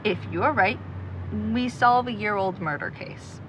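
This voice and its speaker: Actress as optimistic young woman, reassuring, upbeat, medium pitch, audio drama, background noise. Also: measured pace, a bit bright, close to an everyday voice, low-quality recording. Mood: upbeat, persuasive. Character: optimistic young woman leading a search for a missing friend. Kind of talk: naturalistic audio drama acting.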